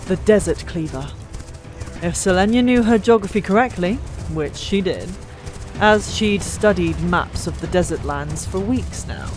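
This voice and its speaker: A woman's voice: on the low side, expressive, unhurried, a little mellow. A woman narrating a fantasy audiobook in a dramatic, theatrical storytelling style. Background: music.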